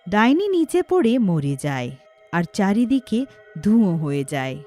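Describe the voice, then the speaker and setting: A woman's voice: medium pitch, sing-song, unhurried, warm tone, soft background music. A woman narrating a scripted cartoon story in a dramatic storytelling style.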